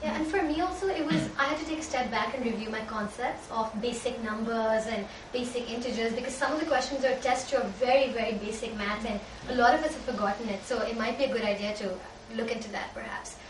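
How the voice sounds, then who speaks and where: A young woman from Pakistan moderating a casual student panel discussion with quick, leading questions. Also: on the high side. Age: young adult. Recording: roomy, distant mic with background noise.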